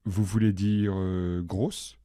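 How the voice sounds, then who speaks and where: A man reading the questioning part of a scripted dialogue for language learners, measured and clear, each line said twice. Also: deep voice, flat delivery, mellow, no room sound, clean studio sound. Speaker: language-lesson dialogue reader.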